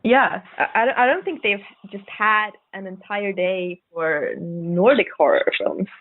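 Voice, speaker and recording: A woman speaking as a podcast guest co-host, chatting casually about horror films in hesitant, halting phrases. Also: mid-range voice, no echo. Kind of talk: casual horror film chat. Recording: narrow phone-like call audio, dry.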